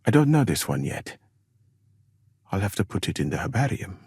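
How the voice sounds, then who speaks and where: Medieval game healer from mali, husky and dry close sound, super polite, gentle and formal. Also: male voice, sits low, big pitch swings, no echo, heavily acted. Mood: musing, instructive. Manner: courteous, measured, formal, careful wording. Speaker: video game character, physician. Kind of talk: acted video game dialogue, formal phrasing.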